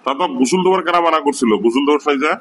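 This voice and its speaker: A man's voice: on the high side, very fast, a loud crowd, lightly acted. A man voicing an exasperated, scolding village teacher. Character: exasperated middle-aged male village teacher.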